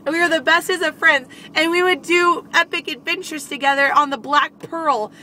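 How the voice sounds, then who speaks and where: A woman's voice: high-pitched, normal speed, pushed and bright. A young woman playfully improvising a bedtime story in a silly, giggly way, recorded inside a car. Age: young adult. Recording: noisy car interior, vehicle ambience.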